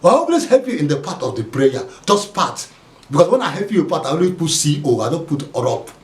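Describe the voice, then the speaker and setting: A man voicing Confident, a calm, smug man who lectures in a low voice, in a roomy space. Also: brisk. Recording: echoey room.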